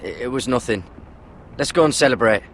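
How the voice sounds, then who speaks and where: A man's voice: medium pitch, animated, a little fast, a bit bright, natural voice. Guy playing earnest young fantasy watchman, mid voice, dutiful, asks a lot, slight noise behind. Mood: resolute. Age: young adult. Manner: earnest, questioning. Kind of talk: dramatic fantasy game acting.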